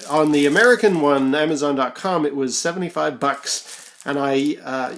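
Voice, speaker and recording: A casual YouTuber from Canada with a lively, swinging pitch that sits at a middling height. They ramble and hesitate while thinking aloud, on an amateur home recording with faint background noise. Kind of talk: casual unboxing vlog.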